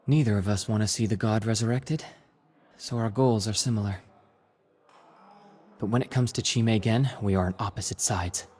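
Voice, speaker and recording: Guy doing a calculating strategist in a game, lowish husky voice, calm. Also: moderate pace, lightly acted. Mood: measured. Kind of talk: dramatic video game voice acting.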